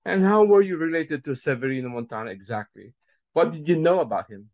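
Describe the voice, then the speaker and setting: A middle-aged man interviewing a guest over a video call, asking long, measured questions. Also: fairly high pitch, lively intonation, darkish tone, highs cut off. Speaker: entertainment journalist, interviewer.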